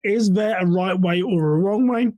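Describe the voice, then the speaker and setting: A man's voice: sits high, moderate pace, dark tone, no room sound, highs cut off. A man hosting a solo podcast, explaining things conversationally as a practitioner and putting questions to the listener. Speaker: podcast host and church security practitioner.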